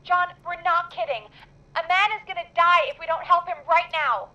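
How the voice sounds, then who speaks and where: A woman playing a practical, concerned radio show colleague in a horror game, heard through a filtered line. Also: very high-pitched. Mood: earnest. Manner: brisk, practical. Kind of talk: dramatic horror game voice acting. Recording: dull, filtered line with music.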